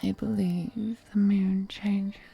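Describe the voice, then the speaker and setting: Woman acting a slow, whispering, seductive ancient vampire lady. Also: medium pitch, level tone, mellow, faint music behind, heavily acted. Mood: tender, seductive. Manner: breathy whispering, slow, teasing, formal courtly phrasing. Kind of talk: intimate roleplay audio acting, mostly whispered.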